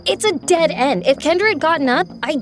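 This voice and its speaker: An earnest high school girl in an audio drama, high voice, music and crickets behind. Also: lively intonation, a little fast. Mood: insistent. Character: earnest teenage schoolgirl confiding a strange story.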